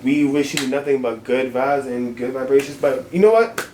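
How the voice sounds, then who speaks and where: A man's voice: mid-range voice, moderate pace, a little mellow, echoey room, slight background noise. A man hosting a casual interview, talking in an upbeat, promotional way. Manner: upbeat, promotional, repeats words. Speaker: interview host.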